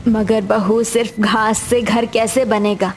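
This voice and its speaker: A woman's voice: a little high, little pitch movement, slow. A woman voicing a poor, loving older mother-in-law in an emotional cartoon family story. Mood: doubtful. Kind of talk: emotional cartoon dialogue acting. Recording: music behind the voice.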